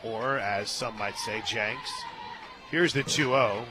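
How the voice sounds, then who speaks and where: A man doing live radio play-by-play of a softball game, mixing pitch calls with folksy, joking asides. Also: slow pace, music in the background, a crowd in the background. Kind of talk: live softball radio commentary with casual banter. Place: outdoor stadium press booth.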